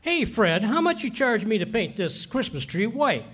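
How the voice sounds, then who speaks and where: A man's voice: sits high, very animated, moderate pace, a bit bright, husky, exaggerated voice. A man playing an indignant, blustering cheapskate husband in 1940s-style radio comedy, sarcastic and grumbling. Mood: haggling. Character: indignant, blustering cheapskate husband haggling over a Christmas tree.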